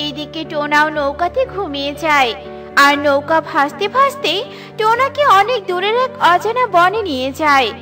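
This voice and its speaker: A woman narrating a cartoon story in a lively storytelling way. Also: very high voice, normal speed. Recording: with light background music.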